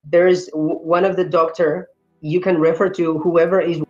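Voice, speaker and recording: A man's voice: on the high side, flat delivery, normal speed, a little mellow, dull audio, soft background music. A man defending his view in a heated religious debate, often stammering and restarting his sentences.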